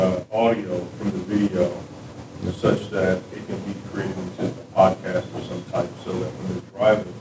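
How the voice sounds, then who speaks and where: A man who teaches, asking a question in a hesitant, halting way. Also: on the low side. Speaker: audience member, instructor. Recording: roomy, distant, noisy.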